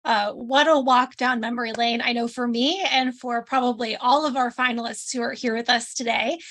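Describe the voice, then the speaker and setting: A woman's voice: a little high, fairly even intonation, moderate pace, a bit bright. A woman hosting an online panel over a video call, chatty and loose, with lots of ums and restarts. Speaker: host of an online alumni panel. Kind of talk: casual live-stream hosting.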